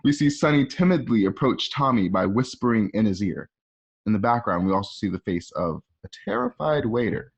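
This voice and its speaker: A man's voice: lowish voice, big pitch swings, fairly quick. A man reading scripted scene descriptions as the narrator of an amateur radio play. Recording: dull lo-fi home recording.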